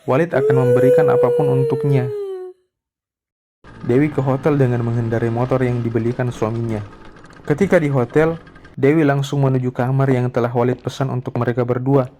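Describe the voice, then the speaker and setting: Mellow male story narrator. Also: medium pitch, sing-song, a little fast, a little hiss or hum.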